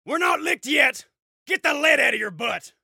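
Fierce war commander: high gravelly shouting, swinging pitch, commanding. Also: male voice, lively intonation, normal speed, no echo, clean studio sound, exaggerated voice. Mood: rousing, fierce. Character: rowdy, fierce military officer rallying his men. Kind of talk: character voice demo.

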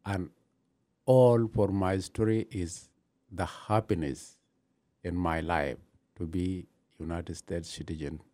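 A man from Ethiopia, telling his own story in halting, with many pauses. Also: deep voice, fairly even intonation, mellow. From Gambela, Ethiopia. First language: not English. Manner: halting non-native English, many pauses. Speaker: interviewee, new citizen. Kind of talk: personal story in an interview.

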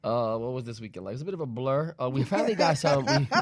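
A man's voice: medium pitch, animated, fast talker, slight background noise. A man from the Bronx, co-hosting a show with casual, colloquial, teasing banter. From Bronx, New York. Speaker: radio show co-host.

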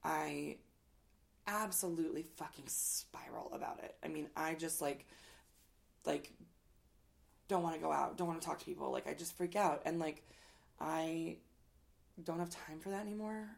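A woman speaking frankly and at length in a casual interview, rambling with fillers and casual swearing, who says her voice is loud. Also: low-pitched, normal speed, gravelly, long pauses. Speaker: guest. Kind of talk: candid conversational interview.

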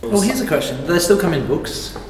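A man's voice: high-pitched, very animated, fairly quick, music in the background. A man with an Australian accent chatting casually as a podcast co-host, asking questions and commenting. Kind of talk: casual interview chat. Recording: distant mic, echoey room, faint noise.

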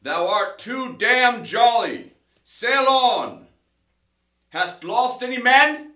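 A man playing a gloomy, stubborn whaling captain in an audiobook, speaking curtly and forcefully in an echoing space. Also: extremely high pitch, lively intonation, drawn-out words, heavily acted. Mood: grim, impatient. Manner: forceful, curt, gritted. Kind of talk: dramatised audiobook character reading.

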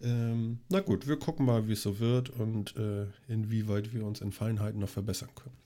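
A man's voice: on the low side, steady tone, moderate pace, dark tone. A man hosting a casual tech podcast, chatty and rambling, with a cold that makes him cough. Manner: chatty, rambling with many fillers. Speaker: podcast host. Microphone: studio microphone on a long cable.

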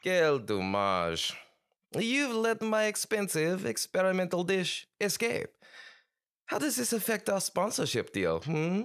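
Pompous villainous chef, wildly swooping delivery. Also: male voice, high voice, wildly swooping pitch, measured pace, fairly bright tone, clear, resonant tone, full character voice. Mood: smug, scheming. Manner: pompous, theatrical, sprinkles French phrases. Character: pompous, sinister male celebrity chef, theatrically villainous. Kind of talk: comic audio sketch acting.

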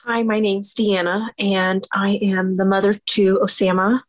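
Slow, flat-toned woman. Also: mid-range voice, no room sound. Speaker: parent panelist.

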